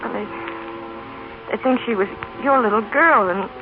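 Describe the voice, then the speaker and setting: An actress playing an awkward, self-sacrificing working-class mother in a vintage radio drama, speaking haltingly with stammers and homespun grammar. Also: on the high side, music in the background, close to an everyday voice. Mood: earnest, pleading. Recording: tinny band-limited old radio recording.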